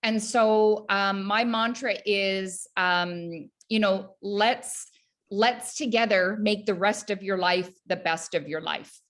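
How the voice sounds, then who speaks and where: A woman's voice: medium pitch, steady tone, slow pace, a bit bright. A woman from Canada, a health coach guest in an interview, talking earnestly with many fillers and restarts. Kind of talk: casual interview talk.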